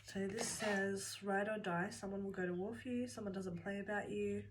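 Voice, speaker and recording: A woman's voice: mid-range voice, normal speed, husky. A woman giving a tarot reading, speaking earnestly and directly to the viewer while nursing a cold that makes her voice come and go. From Australia. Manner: calm, earnest, talks straight to the viewer. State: has a cold, voice cutting in and out. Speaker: tarot reader. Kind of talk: pick-a-card tarot reading. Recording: loud background noise. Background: shuffling cards and clicks.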